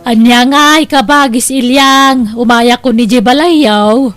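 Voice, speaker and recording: A woman's voice: a little high, slow, music in the background. A woman playing a nosy, gossiping village neighbour in an Ilocano comedy drama, speaking chattily with an Ilocano accent. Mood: eager. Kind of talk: Ilocano comedy radio-style drama acting.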